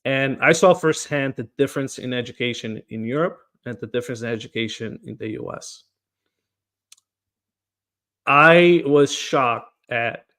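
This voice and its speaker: A man speaks as a candidate in a podcast interview, earnest and steady at a middle pitch. He often stumbles into his phrases by repeating a word before pressing his point. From Portugal. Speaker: political candidate.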